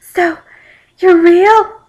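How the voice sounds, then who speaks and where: A woman voicing a gushing, tearful teenage fangirl from a fanfiction, over-dramatic and halting. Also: very high voice, steady tone, a little mellow, highs cut off, voice pushed up, full character voice. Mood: awed.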